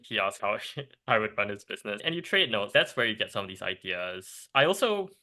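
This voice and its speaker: A young man from Singapore, a startup co-founder, talking in a casual podcast interview in animated bursts with restarts and laughs. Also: on the low side, a little fast.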